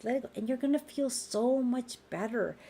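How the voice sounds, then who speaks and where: Raspy, gravelly woman healer. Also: fairly high pitch, moderate pace. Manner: gentle, reassuring, informal. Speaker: spiritual healer hosting a live group healing call. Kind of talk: live-streamed conversational healing talk. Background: faint background music.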